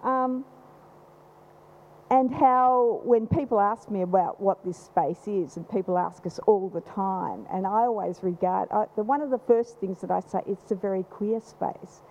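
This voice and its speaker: A woman with a loud voice, speaking from the audience at a panel event, rambling with many restarts. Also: medium pitch, measured pace. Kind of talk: unscripted comment from the floor. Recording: slightly dull live event recording.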